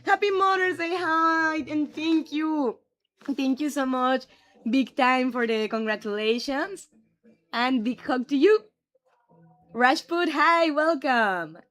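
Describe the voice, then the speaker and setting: A woman's voice: high voice, slow pace, a bit bright. A young woman from Mexico with a Mexican Spanish accent, chatting casually on a live stream and answering viewers' comments. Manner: friendly, answers viewers' questions aloud. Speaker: livestreamer.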